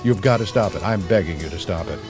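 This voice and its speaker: Male radio sidekick, lowish pitch, swooping intonation, exasperated, emphatic, music behind. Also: lively intonation, quick pace. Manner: exasperated, emphatic, repeats himself. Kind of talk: unscripted radio banter. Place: radio studio. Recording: archival radio broadcast with music bed.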